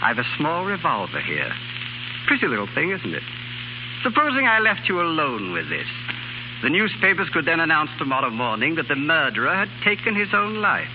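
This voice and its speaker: Polite evil professor villain, higher voice with lots of swing, noisy old radio play. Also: male voice, wildly swooping pitch. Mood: suave menace. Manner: polite, measured menace. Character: suave, cold-blooded professor, a spy and murderer. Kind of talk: 1930s radio drama acting. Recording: noisy, muffled 1930s radio broadcast recording.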